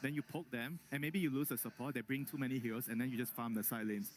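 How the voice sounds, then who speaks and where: Male esports analyst, mid-pitched, rapid conversational delivery. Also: steady tone. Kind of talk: casual panel analysis.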